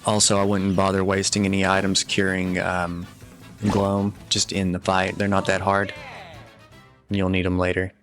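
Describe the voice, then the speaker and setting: A man giving casual, matter-of-fact video game walkthrough commentary in a low-key instructional way. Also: completely flat delivery, moderate pace, fairly bright tone. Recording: voice over game music. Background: video game music.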